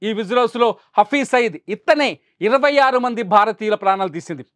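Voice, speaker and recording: High-pitched man, emphatic news presenter. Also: expressive, a little fast, fairly bright tone, clean tone, no room sound, clean studio sound. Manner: rhetorical, repeating phrases for emphasis.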